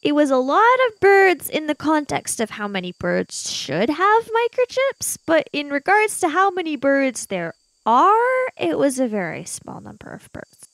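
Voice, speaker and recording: A woman's voice: high-pitched, huge pitch swings, measured pace, fairly bright tone, no room sound, voice pushed up, full character voice, poor audio. A woman playing a bookish, argumentative schoolgirl in an improvised tabletop adventure, wordy and pedantic. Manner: pedantic, wordy, indignant when teased.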